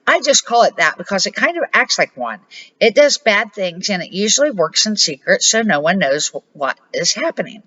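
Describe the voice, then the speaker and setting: A woman's voice: mid-range voice, very animated, normal speed, a bit bright, husky, lo-fi sound. An older woman reading the part of a patient old grandfather who explains things emphatically in a children's storybook. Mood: patient explaining. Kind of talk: storybook read-aloud.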